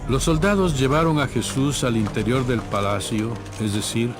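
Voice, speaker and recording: A man's voice: mid-range voice, moderate pace. A man reading scripted, dramatized Bible narration. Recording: music bed behind narration.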